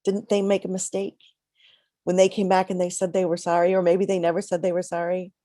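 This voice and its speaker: Congested woman giving a gentle sermon. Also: lowish voice, monotone, dry recording, studio quality. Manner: reflective, conversational, with pauses. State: congested with a cold. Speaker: minister.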